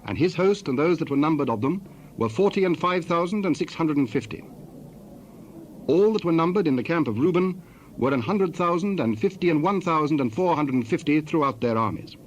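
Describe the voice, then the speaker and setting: Higher-voiced man narrating scripture. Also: animated. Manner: formal, measured reading. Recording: dull sound, faint background noise.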